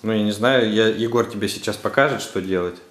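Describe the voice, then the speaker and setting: A man's voice: fairly low pitch, fairly quick, a bit bright. A man talking casually as a father, prompting and instructing children through a craft at home. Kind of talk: casual family chat. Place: indoor room at home. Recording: roomy echo, faint background noise.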